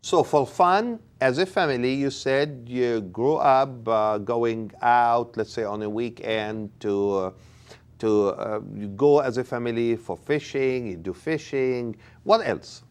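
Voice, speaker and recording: A man interviewing a guest, asking questions in a halting way with frequent restarts and repeated words. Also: medium pitch, smooth.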